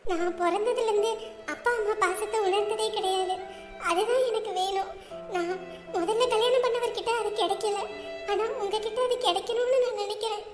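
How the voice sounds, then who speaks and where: A woman voicing a gentle bride-to-be who speaks meekly and politely. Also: very high voice, fairly even intonation, moderate pace, roomy sound, big-room sound, music in the background. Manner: meek, polite. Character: gentle soft-spoken bride-to-be, a widow remarrying.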